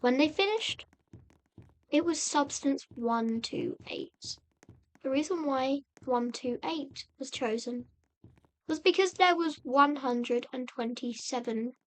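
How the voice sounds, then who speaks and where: A woman narrating a dramatic story recap in a halting, suspenseful manner, repeating phrases for effect. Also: high voice, a little hiss or hum. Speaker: video narrator and host.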